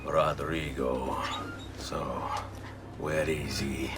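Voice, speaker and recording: An actor playing a determined young male assassin in a game cutscene, speaking in a low, intense way. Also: flat delivery, very slow, over loud music, exaggerated voice. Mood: defiant. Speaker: game protagonist. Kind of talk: video game cutscene acting.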